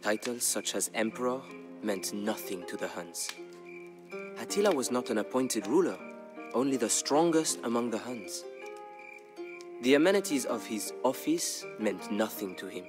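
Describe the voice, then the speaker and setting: A man playing a solemn storyteller in a strategy game, narrating war history in a measured, dramatic, deliberate way. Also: medium pitch, lively intonation, natural voice. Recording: narration over background music. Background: music.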